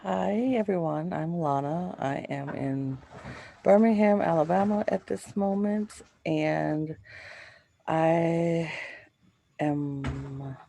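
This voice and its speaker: A woman introducing herself on a video call in a halting, unsure way. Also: deep voice, vocal fry, dark tone. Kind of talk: casual self-introduction.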